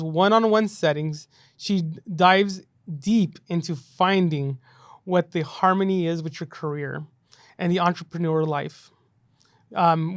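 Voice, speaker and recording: A man's voice: high voice, no room sound, clean studio sound. A man hosting a casual podcast, upbeat and loose, filling his talk with "you know" and "right". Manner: upbeat, filler-heavy, says "you know" and "right" a lot. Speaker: podcast host.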